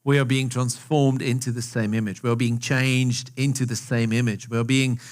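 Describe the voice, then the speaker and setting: Conversational South African pastor preaching. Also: male voice, medium pitch, flat delivery, moderate pace. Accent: South African with some American. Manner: conversational, asks the audience questions, repeats phrases for emphasis. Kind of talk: sermon. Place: church hall with a live congregation.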